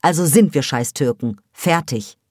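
A woman voicing a scornful, sharp-tongued teenage girl who smokes and mocks others in a storybook reading. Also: sits low, animated, takes it slowly, no echo, clean studio sound, full character voice. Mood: scolding. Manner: mocking, gossipy, scolding.

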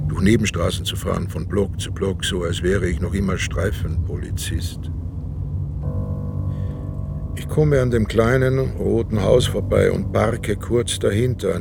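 An actor playing a weary, stubborn police inspector in a German radio crime drama, speaking in a terse, dry way. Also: male voice, low voice, gravelly, exaggerated voice. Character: weary, stubborn male police inspector narrating his own investigation. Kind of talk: German radio crime drama, dialogue and first-person narration.

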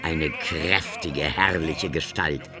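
A man playing a solemn storyteller in a radio play, reciting a gothic literary tale in a deliberate, theatrical manner. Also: a bass voice, over music. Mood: grave fascination. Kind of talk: dramatic literary narration.

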